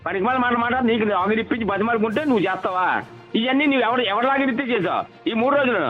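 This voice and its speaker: A man's voice: extremely high pitch, rapid-fire, music in the background. A man arguing heatedly on a phone call in an aggressive, repetitive, hectoring manner. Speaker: local political leader. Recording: tinny leaked phone call.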